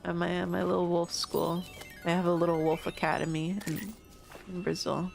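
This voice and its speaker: A woman's voice: fairly low pitch, little pitch movement, normal speed, gravelly, a little mellow, faint music behind. A young American woman streaming a game, chatting casually with her viewers, swearing now and then and laughing often. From United States. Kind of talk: casual gaming livestream chat.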